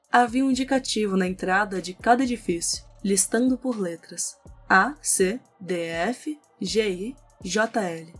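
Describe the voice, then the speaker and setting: A woman with a Brazilian accent reading scripted narration for an audio novel. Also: medium pitch. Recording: music under the voice.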